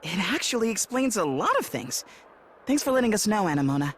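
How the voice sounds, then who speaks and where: Lively earnest teenage boy blacksmith. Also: high-pitched, brisk, a little hiss or hum. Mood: surprised, thoughtful. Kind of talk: video game voice acting.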